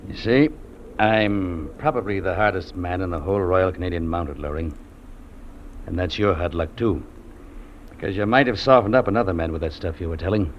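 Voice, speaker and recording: An actor playing a stern, unyielding Mountie sergeant, speaking curtly in 1950s radio drama style. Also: male voice, low-pitched, moderate pace, natural voice. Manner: curt, stern, blunt. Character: hard, unyielding Mountie sergeant, adult man. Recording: dull, noisy old radio recording.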